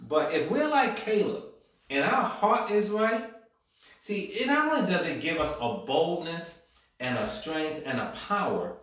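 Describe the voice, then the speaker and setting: A man's voice: fairly high pitch, fairly bright tone. Echoey fervent male preacher. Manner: builds to fervent, rising exhortation; frequent amens and call-and-response. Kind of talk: sermon with Bible reading. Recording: very echoey room from far off.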